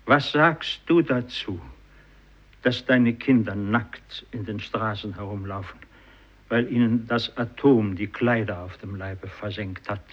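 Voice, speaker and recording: A solemn, accusing fisherman in a vintage German radio play. He speaks very slowly in a husky voice, with deliberate repetition. The recording is dull and aged. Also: mid-range voice. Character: grave, accusing Japanese fisherman questioning God. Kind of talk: 1950s German radio drama acting.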